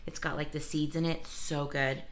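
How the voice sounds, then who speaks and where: A woman vlogger chatting casually to her viewers, hoarse from a cold and losing her voice. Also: sits low, little pitch movement, normal speed, background noise. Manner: chatty, talks straight to viewers with asides. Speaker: vlogger showing a grocery haul. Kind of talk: casual chatty vlog talk.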